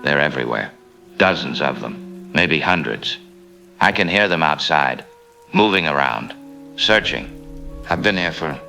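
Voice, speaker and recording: Man acting as deep, tense trapped survivor. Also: vocal fry, pushed and bright, exaggerated voice. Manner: halting, anxious. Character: trapped, despairing male survivor calling on radio. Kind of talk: apocalyptic radio drama monologue.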